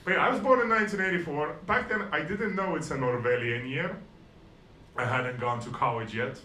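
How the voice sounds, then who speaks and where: A man's voice: a little high, fairly quick, bright, forceful tone, echoey room, slight background noise, low-quality recording. Dry, self-deprecating man in his forties. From Estonia. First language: Russian and Estonian. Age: 40s. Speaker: stand-up comedian. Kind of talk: stand-up comedy set.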